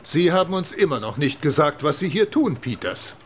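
An actor playing an authoritative, gruff senior police chief in a radio drama, with a brusque, commanding manner. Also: male voice, fairly high pitch, very animated, moderate pace, a little hiss or hum. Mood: brusque, sceptical. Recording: loud vehicle and outdoor ambience behind.

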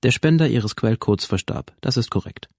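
An actor playing a clinical police researcher in a radio drama, speaking in a formal, matter-of-fact, bureaucratic way. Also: male voice, medium pitch, lively intonation, moderate pace, creaky, darkish tone, no echo, clean studio sound. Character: coolly clinical male police researcher explaining a simulation.

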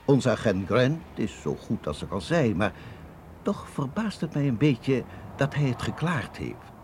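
A suspicious security colonel in a radio play. His mid-pitched male voice moves animatedly within formal, measured, commanding phrases. A light layer of noise sits under the recording. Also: big pitch swings, darkish tone. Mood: suspicious, probing. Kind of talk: Dutch radio drama acting.

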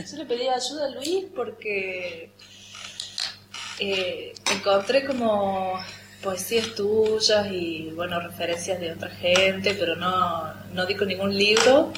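A woman's voice: medium pitch, slow. An Argentine woman interviewing a writer casually, with friendly short prompts. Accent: Argentine Spanish, rioplatense. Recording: roomy echo with background noise.

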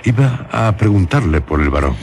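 A man's voice: sits low, sing-song, moderate pace, a little mellow, a little hiss or hum. A man, hosting and narrating a literary radio drama. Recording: music behind the voice. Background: music.

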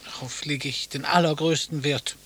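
An actor playing a haughty, unflappable gentleman in a radio play, with a pompous deadpan delivery. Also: a little high, normal speed, close to an everyday voice. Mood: aloof. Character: haughty, unflappable husband, pompous beach meditator. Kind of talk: German radio play acting. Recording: faint tape hiss.

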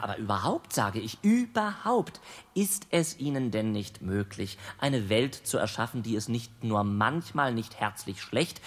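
An actor playing the devil Lucifer in a radio play, needling and ironic, asking mocking questions and co-narrating. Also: male voice, mid-range voice, vocal fry, husky, exaggerated voice. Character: sardonic male devil Lucifer, mocking and curious. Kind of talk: radio drama acting.

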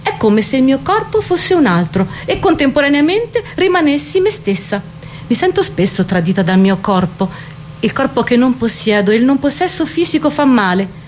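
Actress as very high, exalted, anguished woman. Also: big pitch swings, moderate pace, a little hiss or hum. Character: exalted, anguished woman confessing torment over beauty and body. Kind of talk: theatrical recitation of a poetic confession.

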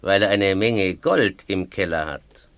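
Give me a deep-voiced, sly gang boss. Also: male voice, unhurried, clear, resonant tone, dry recording. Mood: sly, smug. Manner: mock-polite, sly, explanatory. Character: smug, scheming male gang boss explaining a job. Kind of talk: German radio play acting.

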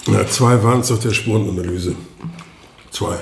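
A man's voice: lowish voice, normal speed, gravelly, very noisy. A man playing a weary village policeman who talks in a hesitant, apologetic way, in a roomy space. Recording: roomy radio drama scene, faint ambience.

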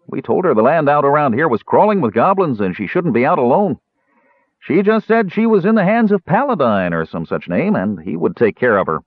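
A man voicing a rough, offhand woodland ranger who hunts goblins, in a fantasy audiobook. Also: fairly high pitch, sing-song, a little fast, dry recording, phone-quality sound, voice pushed up. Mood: matter-of-fact.

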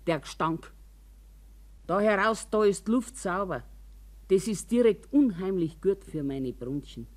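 A woman's voice: on the low side, darkish tone, lightly acted. An older actress playing a grumbling, wary old woman from a care home in a radio monologue, with a Bavarian accent and a rambling, complaining, confiding way of talking. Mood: indignant, disgusted, then suspicious, confiding. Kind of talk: radio drama monologue in colloquial speech.

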